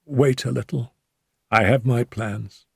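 A man voicing an injured detective who mutters in a weak, hoarse whisper, wry and scheming, in an audiobook. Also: medium pitch, fairly even intonation, slow pace, a little mellow, dry recording, clean studio sound, pitched above the performer's own voice, full character voice. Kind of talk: audiobook character voice.

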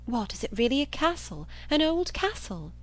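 A woman's voice: high-pitched, sing-song, normal speed, pitched above the performer's own voice. A woman voicing a naive, earnest young woman, polite and questioning, in a storybook reading. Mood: eager, wistful.

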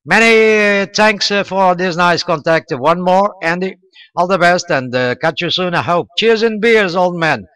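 A man with a Portuguese accent speaks in a very clear, slightly high voice. His English is halting and courteous, in the style of an amateur radio contact. The sound is close and dry. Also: a bit bright, no echo. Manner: halting, non-native English with courteous sign-offs. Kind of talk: casual radio contact chat.

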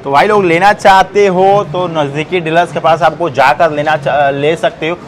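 A man's voice: a little high, fairly even intonation, slight background noise. A young man hosting a casual product walkthrough at a busy indoor expo booth, chatty and addressing viewers directly. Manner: chatty, addresses viewers as brothers, quick questions. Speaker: trade show video host.

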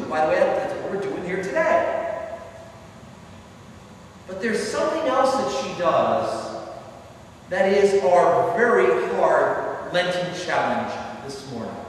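High-voiced priest preaching in echoey church. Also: male voice. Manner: conversational preaching with asides. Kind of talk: sermon. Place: large stone church, indoors. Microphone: far camera at the back of the church. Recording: echoey church from far off, faint hiss.

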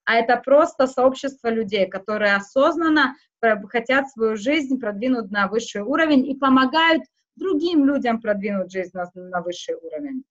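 A woman whose first language is not Russian, speaking haltingly in a casual interview, with many fillers and restarts. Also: on the high side, lively intonation, lo-fi sound. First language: not Russian; schooled outside Russian. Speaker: interview guest.

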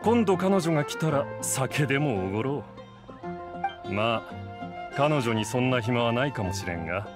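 An actor playing a gruff but courteous male bartender in an anime game, businesslike and wry. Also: mid-range voice, animated, measured pace, natural voice. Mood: wry, sheepish. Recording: clear background music.

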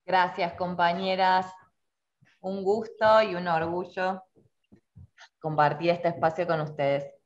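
A woman in her thirties moderates an online meeting in a slightly low voice. She takes her time introducing speakers, and the video-call audio is a bit dull. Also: fairly even intonation, slow pace, pauses often. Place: indoor room with bookshelves, on a video call.

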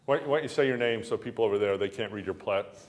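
A man's voice: on the low side, fast talker. An older man leading a university class in a classroom, talking casually and repeating words as he thinks aloud. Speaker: university instructor. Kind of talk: classroom lecture and discussion. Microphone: camera at the back of the room.

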